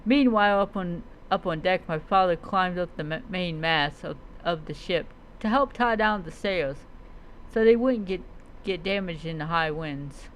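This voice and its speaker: A streamer narrating a fantasy adventure tale in a dramatic storytelling style, halting and often stumbling over and repeating words. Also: mid-range voice, fairly bright tone, slight background noise, rough recording. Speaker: streamer narrating own roleplay character's story.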